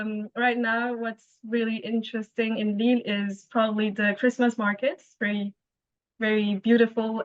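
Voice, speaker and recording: A woman's voice: medium pitch, dry recording. A young woman, a master's student, describing her studies in a hesitant casual way with many fillers. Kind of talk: casual webinar talk. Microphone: laptop mic on video call. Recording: dull lo-fi call audio.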